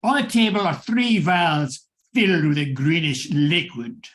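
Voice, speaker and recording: Higher-pitched male radio play narrator. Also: lively intonation, a little slow, a bit bright. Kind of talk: scripted radio drama narration.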